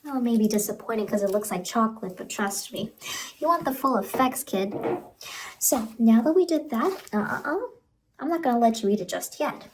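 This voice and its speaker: A woman voicing a sinister ice cream truck clown in a soft, cajoling, falsely reassuring ASMR horror roleplay, close to the microphone. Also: on the high side, animated, brisk, slight background noise, close to an everyday voice, rough recording. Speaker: ASMR performer.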